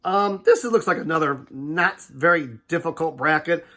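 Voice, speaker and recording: Older male host with a slightly high voice, nasal from a cold, relaxed and meandering. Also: moderate pace. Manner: relaxed, rambling with fillers and restarts. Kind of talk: casual sports preview talk.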